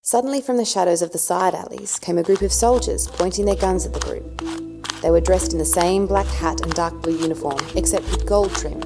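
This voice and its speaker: A woman's voice: on the low side, fairly quick, dark tone. A woman narrator reading scripted story narration for an audio drama. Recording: music underneath.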